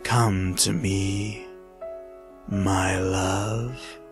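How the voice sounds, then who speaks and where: Casting: male actor as eerie longing lover, low voice, extremely slow romantic pleading, over prominent music. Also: steady tone, heavily acted. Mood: longing, mournful. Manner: drawn-out, deliberate romantic pleading. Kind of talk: horror story character acting.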